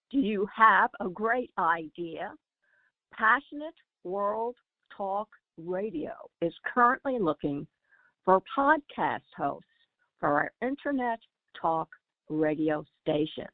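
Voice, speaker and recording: A woman reading a scripted station promo in a slow, measured way. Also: medium pitch, expressive, dry recording. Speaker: station announcer. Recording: tinny dry phone-like audio.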